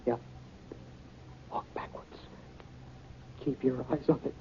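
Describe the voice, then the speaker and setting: A man's voice: medium pitch, steady tone, takes it slowly, gravelly, a little hiss or hum. An actor playing an eager, polite young grocery delivery boy with an earnest manner, in 1950s radio drama style. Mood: frightened. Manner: earnest, boyish. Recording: dull, band-limited 1950 radio recording.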